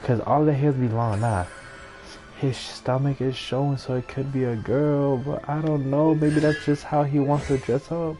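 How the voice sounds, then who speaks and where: A man's voice: mid-range voice, steady tone, normal speed, dark tone, poor audio. A young man giving casual, slangy, rambling commentary as he streams a game. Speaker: gaming streamer. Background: game music.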